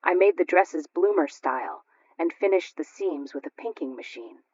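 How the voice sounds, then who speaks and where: A woman's voice: lowish voice, animated, moderate pace, darkish tone, breathy edge. A woman voicing a proud, practical housewife in a radio sketch, precise and instructive. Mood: modest, chatty. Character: proud, practical, painstaking housewife at sewing club. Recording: dull lo-fi radio recording.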